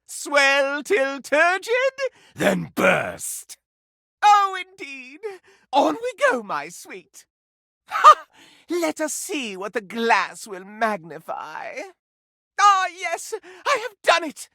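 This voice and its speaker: Game role: a theatrical gossip of a candelabra, high for a man, hugely animated, bright, campy and florid. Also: extremely slow, gravelly, no room sound, clean studio sound, heavily acted. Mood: amorous, delighted. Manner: florid, campy, melodramatic, affected continental airs. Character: flamboyant, gossipy, theatrical male candelabra dandy. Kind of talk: theatrical video game character acting.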